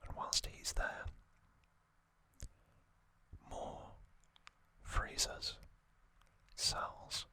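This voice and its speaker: Whispering man, very slow ASMR delivery. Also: sharp tone. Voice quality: breathy unvoiced whisper. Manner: slow, deliberate, short phrases with pauses. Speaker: ASMR host. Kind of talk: ASMR whispered trivia recap.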